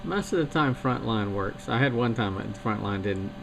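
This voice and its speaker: A man from Missouri in casual chat, speaking in a slow, folksy, plain-spoken way. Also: lowish voice, expressive, gravelly, over loud music. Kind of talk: casual chat over radio link. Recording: radio link buried in loud noise.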